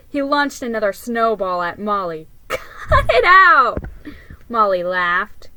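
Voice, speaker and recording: Woman doing a dramatic kids story reading, slow pace, bright clear-cut voice, really expressive. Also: mid-range voice, no echo, poor audio. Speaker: storybook reader.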